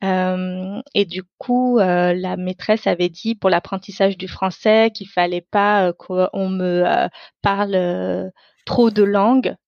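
A woman's voice: mid-range voice, steady tone, slow pace, vocal fry, no room sound, muffled recording. A woman whose first language is Tamil, reflecting on her own life in an unscripted interview, searching for her words as she goes. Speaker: interview guest.

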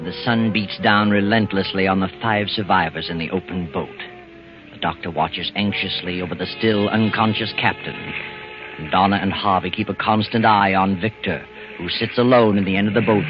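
An ominous storyteller voiced by a man narrates vintage radio suspense in a deep voice. Music plays clearly behind him, and the sound is dull. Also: moderate pace, natural voice. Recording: dull old radio recording.

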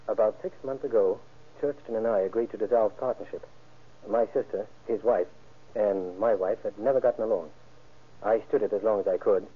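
A middle-aged businessman under questioning in a vintage radio drama. His voice is lowish and husky, polite and careful, with hesitant explanations. The sound is phone-thin and aged. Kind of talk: old-time radio crime drama acting. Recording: thin, muffled old radio recording.

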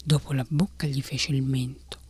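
Softly whispering woman storyteller. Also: very deep voice, fairly even intonation, husky. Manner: soft whispering, unhurried. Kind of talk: whispered ASMR story reading.

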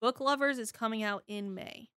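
A woman's voice: fairly high pitch, normal speed, sharp tone, no room sound, studio quality. A young woman co-hosting a book podcast, giggly and jokey, swearing casually, a little congested. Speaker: podcast co-host. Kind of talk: casual chat.

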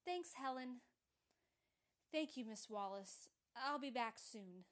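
Actress as a slow, high-voiced troubled young operator. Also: female voice, lively intonation, slight background noise. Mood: subdued, unwell. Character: troubled, reticent young telephone operator, polite and evasive. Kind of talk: old-time radio drama acting.

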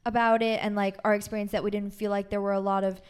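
Clear-voiced young American woman. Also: mid-range voice, little pitch movement, quick pace. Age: young adult. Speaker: podcast co-host. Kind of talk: casual chat.

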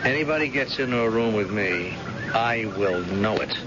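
A man's voice: fairly low pitch, lively intonation, normal speed, natural voice. An actor playing a stubborn blinded police captain and protective father in a radio drama, speaking insistently with hesitant starts. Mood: proudly confident. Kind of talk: old-time radio drama acting. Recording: noisy, muffled old radio recording.

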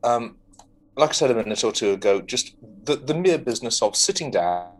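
A man with an ordinary mid-range voice co-hosts a relaxed podcast chat. He pauses often with ums and thinks aloud as he speaks. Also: mid-range voice, slight background noise, rough recording. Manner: hesitant, lots of ums. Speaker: podcast co-host. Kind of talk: casual chat.

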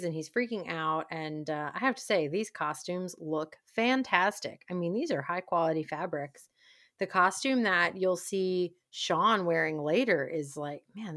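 A woman with a slightly low voice recaps an old episode in casual chat. Her talk is wry and loose, full of little fillers. The recording is close and dry with no room sound. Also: a bit bright, no room sound. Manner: wry, chatty, many "like" fillers. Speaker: podcast co-host.

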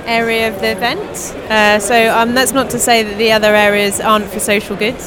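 A woman hosting a podcast, asking brisk, encouraging questions at a conference. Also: fairly high pitch, level tone, low-quality recording. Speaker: podcast host, interviewer. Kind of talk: roving interview at a conference. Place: conference hall. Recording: busy crowd at a conference.

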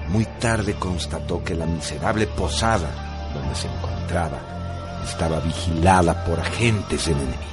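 Deep-voiced man narrating solemnly. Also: animated, moderate pace, over loud music. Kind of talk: dramatic scripted narration.

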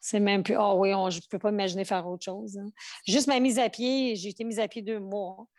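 A woman's voice: medium pitch, fairly even intonation, brisk, a little mellow, dry recording, studio quality. A woman in her forties with a Québécois French accent, a flight attendant chatting in an interview, full of fillers and restarts. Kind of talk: casual interview chat.

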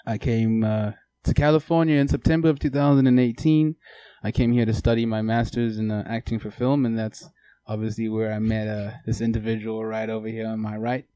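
A young man with a South African accent, co-hosting a casual podcast chat, laid-back and quick to laugh. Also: fairly low pitch, flat delivery, mellow, smooth, dry recording. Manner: laid-back, laughs often, fills with "mm-hmm". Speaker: podcast co-host.

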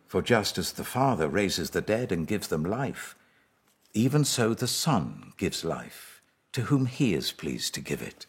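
A British man reading the words of a solemn, authoritative male teacher from scripture, with a husky voice and a grave, deliberate delivery. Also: low voice, big pitch swings, full character voice. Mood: emphatic declaring.

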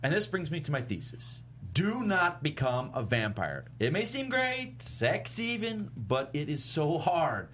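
A man acting an awkward, self-pitying young male vampire in a comedy script read, halting and sardonic. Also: on the high side, very animated, fairly bright tone. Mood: mopey ranting. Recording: clear background noise and hum.

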